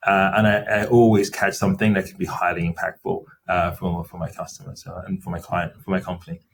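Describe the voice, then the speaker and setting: A middle-aged man, a company finance chief, answering interview questions in a measured, explanatory way with frequent fillers. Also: sits low, level tone, creaky. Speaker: company CFO as podcast guest. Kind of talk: interview conversation.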